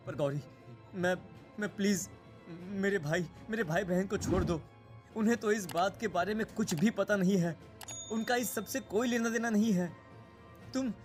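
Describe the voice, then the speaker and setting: A man voicing a guilt-ridden, protective young elder brother in a horror cartoon, pleading in a halting, melodramatic way. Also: high voice, close to an everyday voice. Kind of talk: Hindi horror cartoon voice acting. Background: music.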